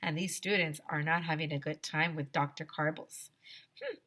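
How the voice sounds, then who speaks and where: A woman teacher reading a children's story aloud in an expressive, dramatic, emphatic storytelling manner. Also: deep voice, normal speed.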